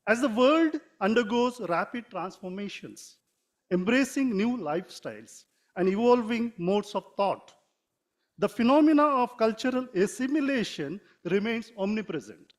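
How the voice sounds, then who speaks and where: A man with an Indian English accent and a high voice reads a formal prepared speech. He speaks in a measured way and sometimes stops to correct a word. His first language is Konkani, and he comes from Mangalore. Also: animated. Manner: measured, formal reading with occasional self-corrections. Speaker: writer giving a talk.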